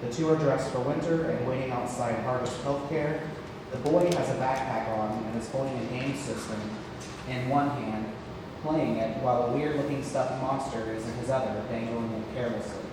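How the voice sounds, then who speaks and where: A man's voice: mid-range voice, fairly even intonation, moderate pace. A man reading scripted stage directions as narrator at a play table read. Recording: echoey hall, distant mic, heavy noise.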